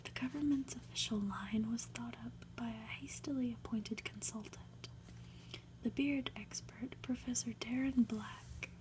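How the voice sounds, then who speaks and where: A woman whispering an ASMR reading of a story in a gentle, hushed manner, with soft asides. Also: a little high, a little slow, a little hiss or hum, low-quality recording. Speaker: ASMR reader and host.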